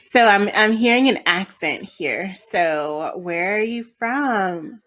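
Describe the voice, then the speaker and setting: A woman hosting a casual interview, relaxed and filling her questions with "like" and "um". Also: mid-range voice, slow. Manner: relaxed, full of fillers like "like" and "um". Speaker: interview host.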